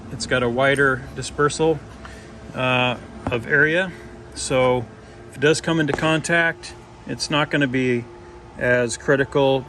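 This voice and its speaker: Regular guy, medium pitch, casually explaining a diy install step by step, bit of vehicle noise in the background. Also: bright, forceful tone. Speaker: DIY video presenter. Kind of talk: casual hands-on install walkthrough.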